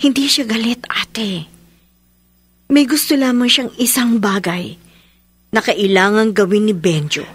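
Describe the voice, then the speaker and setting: A calm, soft-spoken aunt. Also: female voice, mid-range voice, slightly rough, dry recording. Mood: earnest. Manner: soft, reassuring, measured. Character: calm understanding adult aunt. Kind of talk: radio horror drama acting.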